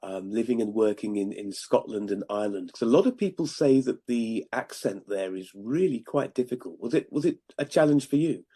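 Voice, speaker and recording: A man hosting an interview, asking polite questions and often trailing off softly at the ends of sentences. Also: fairly low pitch, expressive, brisk, hardly any pauses, breathy edge. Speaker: interview host. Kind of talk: podcast interview.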